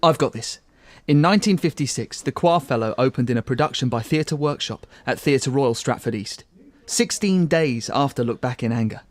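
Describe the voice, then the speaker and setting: An actor playing a pompous, arch and courteous theatre critic who also narrates a radio play to the listener. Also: male voice, medium pitch, lively intonation, moderate pace, natural voice. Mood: informative.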